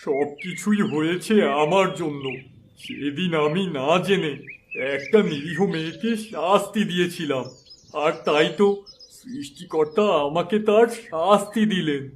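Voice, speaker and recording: A man's voice: on the high side, a little slow, slight background noise, natural voice. A man playing an earnest, devout holy man in a cartoon fairy tale. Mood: remorseful, sorrowful.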